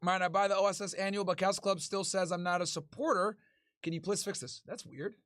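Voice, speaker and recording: A man's voice: sits high, fairly even intonation, brisk, a bit bright, dry recording. Tired, laid-back man, dry close mic. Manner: laid-back, crude slang, tired, joking. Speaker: livestream host. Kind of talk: casual livestream chat reading super chats.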